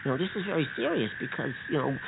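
Casting: male actor as nervous, fussy rescuer in kids' radio play, stammering, pitch leaping about, tinny noisy audio. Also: sing-song, very fast, creaky. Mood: worried. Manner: stammering, fussy, repeats himself. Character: anxious, exasperated male rescuer in a children's story. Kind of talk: improvised children's radio drama.

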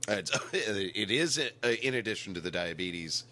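Deep-voiced man hosting a casual podcast. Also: expressive, measured pace, pushed and bright. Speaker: podcast host. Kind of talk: casual comedic podcast chat.

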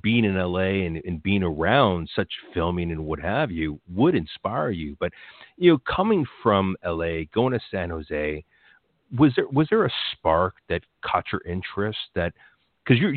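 A man's voice: low voice, sing-song, normal speed, no room sound. A man interviewing a guest in a casual, rambling way, restarting his questions often. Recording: tinny phone-like line.

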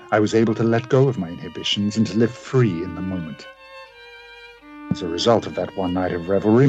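A tormented Victorian scientist played by a male actor speaks in a low voice over string music. He narrates his journal with brooding drama, full of dread at his darker self, as in a radio play. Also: a little mellow, lightly acted. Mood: grave. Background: violin string music.

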